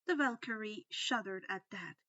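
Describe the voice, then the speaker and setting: A woman's voice: medium pitch, normal speed, pushed and bright, no echo, highs cut off. A woman reading a scripted fan fiction story aloud as its narrator.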